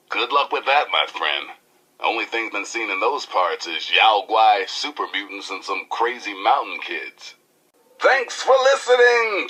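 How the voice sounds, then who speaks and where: A man's voice: fairly low pitch, pushed and bright, husky, heavily acted, poor audio. A man playing a brash, irreverent post-apocalyptic rebel radio DJ with showy, hyped patter. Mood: sly, joking. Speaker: radio DJ and newsreader.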